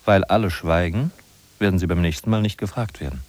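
An actor playing a sardonic narrator in a 1950s German radio play, ironic, declamatory and emphatic. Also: male voice, low voice, fairly quick, no echo, natural voice. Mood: grim, pointed. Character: sardonic male narrator of a bleak big-city inventory. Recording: husky-sounding 1950s radio recording from cassette.

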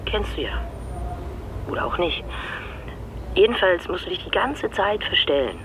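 A man's voice: high-pitched, very animated, measured pace, fairly bright tone, slight background noise, full character voice, rough recording. An actor, a man, playing a candid academic in casual, conversational radio drama dialogue with a wry manner. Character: candid academic recounting a job interview.

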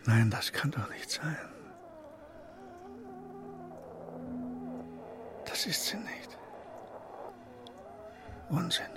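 An actor playing a lonely, troubled man thinking aloud in a radio play, in halting fragments. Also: fairly high pitch, big pitch swings, background noise. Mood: anxious, brooding. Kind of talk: radio play interior monologue.

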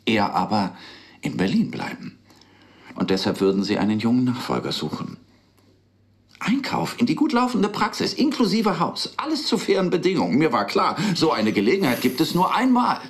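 A wry, earnest man, vividly animated. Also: on the high side, moderate pace. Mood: recollecting, reflective. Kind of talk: radio play dialogue and recollection.

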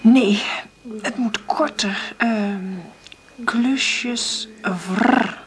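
An actress playing an insecure, tender single mother in a radio play, hesitant and thinking aloud in a breathy voice. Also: a little high, extremely slow, a little hiss or hum. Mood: hesitant, musing. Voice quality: breathy, often half-whispered. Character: insecure, struggling divorced mother, tender and nervous. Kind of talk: naturalistic radio play acting with intimate monologue.

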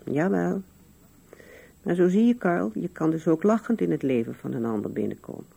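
An actress playing an old widow in a radio play, musing wistfully to herself and to absent loved ones. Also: female voice, on the low side, animated, moderate pace, creaky, warm tone, breathy edge, full character voice. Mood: reflective, amused. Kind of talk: radio drama monologue.

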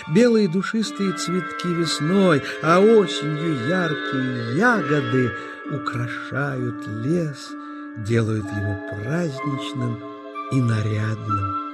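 A man narrating scripted nature tales for children in an expressive, lyrical storytelling manner. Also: fairly high pitch, slow pace, over loud music.

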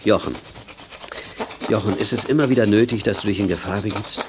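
A man's voice: lowish voice, fairly quick, background noise, lightly acted. An actor playing a kindly, easygoing father who worries about his son in a German children's radio play. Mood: worried.

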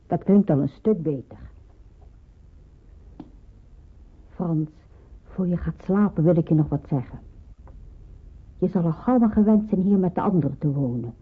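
An actress playing a kindly but firm matron of a wartime safe house, speaking in a measured, instructive way in a radio drama. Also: female voice, lowish voice, lively intonation, husky. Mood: kindly, reassuring. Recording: muffled, narrow-band old radio recording.

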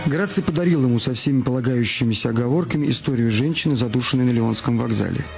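A man narrates a detective novel as a scripted audio drama at a quick pace. His voice is medium in pitch, with music clearly playing behind it. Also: a little mellow.

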